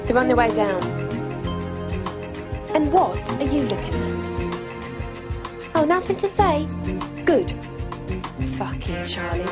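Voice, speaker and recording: High-voiced, scornful British hitwoman. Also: very animated, takes it slowly, pauses often, over music, full character voice. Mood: scornful, commanding. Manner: bossy, scornful. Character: cold, contemptuous female hired killer.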